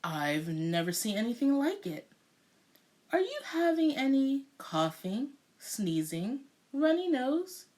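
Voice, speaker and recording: A puzzled, brisk family doctor from a children's tale, read by a woman. He is emphatic and matter-of-fact, with very mobile intonation and measured delivery. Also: fairly high pitch. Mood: intrigued. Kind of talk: children's storybook read-aloud.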